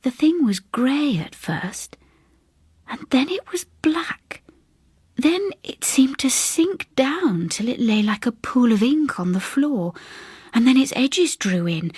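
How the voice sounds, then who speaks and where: A woman's voice: high-pitched, darkish tone, husky, no echo, studio quality, exaggerated voice. A woman voicing a reserved older English woman who recounts a haunting in a hesitant, confiding, precise way.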